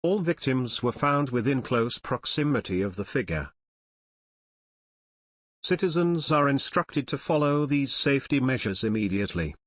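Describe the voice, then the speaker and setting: Man, medium pitch, formal emergency broadcast announcer, measured scripted delivery, dry close sound. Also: lively intonation, fairly bright tone, no echo.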